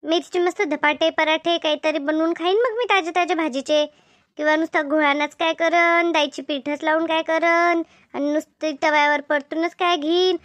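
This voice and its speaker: A woman's voice: very high voice, steady tone, normal speed, fairly bright tone, no echo. A woman voicing an eager, gossipy village neighbour in a comedy cartoon, effusive and inquisitive, with a rural Maharashtrian accent. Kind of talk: animated comedy cartoon dialogue.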